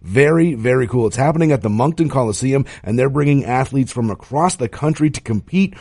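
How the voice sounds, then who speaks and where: A morning radio host with a stuffy, nasal voice from a cold, chatting casually with listeners. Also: male voice, mid-range voice, animated, a little fast, creaky, darkish tone, breathy edge, dry recording, studio quality. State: has a cold. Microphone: studio microphone.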